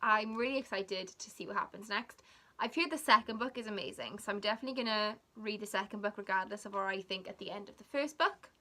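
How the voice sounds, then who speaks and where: A woman's voice: mid-range voice, fast talker, bright, forceful tone. A young woman from Wales with a Welsh accent, whose first language is Welsh, chatting casually in a rambling vlog with giggly asides. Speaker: vlogger.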